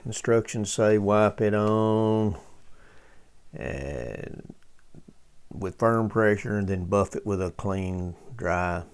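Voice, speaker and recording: A man with a low, gravelly voice talks through a hands-on repair job. He is folksy and casual, pausing often as he thinks aloud. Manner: folksy, halting, thinking aloud while working. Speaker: host of a vintage radio restoration channel.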